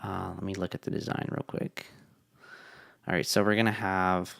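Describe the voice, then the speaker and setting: A young man talking through a casual coding tutorial, relaxed and thinking aloud with fillers, his voice tired from long meetings. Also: deep voice, moderate pace, vocal fry, dry recording. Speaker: web developer.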